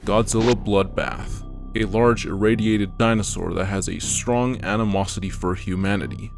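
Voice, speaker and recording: A man reading scripted narration for an analysis video in an explanatory, measured way. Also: lowish voice. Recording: music behind the voice.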